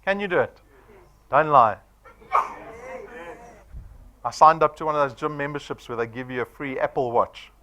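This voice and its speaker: A man's voice: a little high, normal speed, pauses often, sharp tone, a little hiss or hum. A man of forty with a South African accent, giving a punchy sales presentation to an audience and firing off rhetorical questions. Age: 40s.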